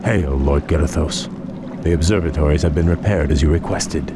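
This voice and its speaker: An actor playing a proud, noble elven prince, formal and restrained with simmering resentment, in a fantasy video game. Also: male voice, a bass voice, moderate pace, heavy background noise. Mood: composed. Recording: music behind. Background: game music.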